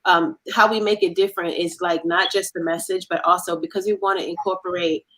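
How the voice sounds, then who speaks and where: A woman's voice: lowish voice, fairly even intonation, brisk, a bit bright, rough recording. A woman explaining her team's work as an interview guest, earnest and conversational. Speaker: creative team member being interviewed.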